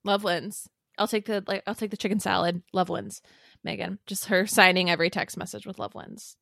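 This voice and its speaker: A woman's voice: medium pitch, fairly even intonation, a little fast, creaky, fairly bright tone, dry recording, studio quality. A woman co-hosting a podcast in casual comedic chat, tongue-in-cheek and mock-earnest. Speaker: podcast co-host.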